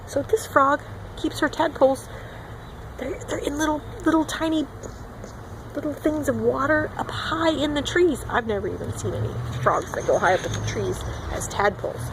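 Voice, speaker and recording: Woman, high voice, children's picture-book read-along, teacherly, expressive delivery, faintly noisy recording. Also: moderate pace. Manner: expressive, acting out animal sound words. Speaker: children's teacher reading aloud.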